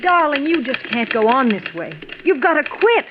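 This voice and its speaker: An actress playing a worried, exasperated wife in 1940s radio drama style, earnest, emotional and insistent. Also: female voice, high voice, sing-song, normal speed, background noise. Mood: worried insistence. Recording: thin, phone-like 1940s radio recording.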